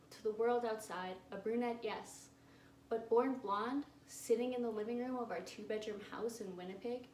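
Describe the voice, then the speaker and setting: A woman's voice: mid-range voice, natural voice. A woman playing a flamboyant, defiant adult male drag queen on trial, in an impassioned, pleading theatrical monologue. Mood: wistful. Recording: echoey room, distant mic, faint hiss.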